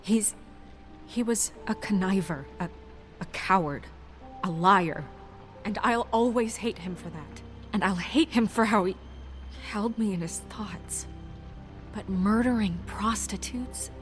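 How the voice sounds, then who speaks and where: A woman's voice: medium pitch, unhurried, long pauses, soft background music, lightly acted. A woman voicing an earnest, businesslike office administrator in a video game, with naturalistic, hesitant phrasing. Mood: bitter, conflicted. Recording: faint score under the voice.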